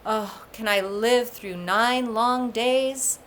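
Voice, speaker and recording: A woman's voice: a little high, slow. A woman voicing a lovesick, noble young male god in solemn archaic storybook speech, over background noise. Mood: longing.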